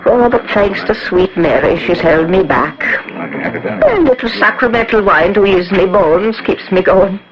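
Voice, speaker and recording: A woman's voice: medium pitch, animated, a little slow, natural voice. An actress playing a pious, tippling old Irish cleaning woman in a radio drama, folksy and rambling. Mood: wry, sympathetic. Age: older. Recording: dull, muffled radio drama recording, crowd behind.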